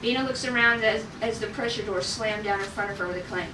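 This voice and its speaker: A woman's voice: medium pitch, quick pace. A woman reading stage directions aloud as narrator at a table read of a script. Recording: echoey room, distant mic, noisy.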